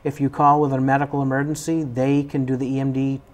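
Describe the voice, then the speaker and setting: An American man speaks as an interview guest from a fire service. His voice sits at a medium pitch, and he explains procedures in unscripted, conversational speech. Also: flat delivery, quick pace. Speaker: fire department staff member, interview guest.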